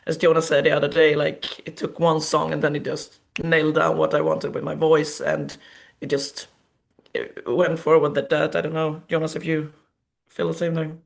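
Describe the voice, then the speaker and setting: A Swedish woman, a singer with a naturally low, raspy alto voice, chatting in an interview with a Swedish accent. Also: level tone, normal speed. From Sweden. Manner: chatty, trailing fillers. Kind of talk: casual interview chat.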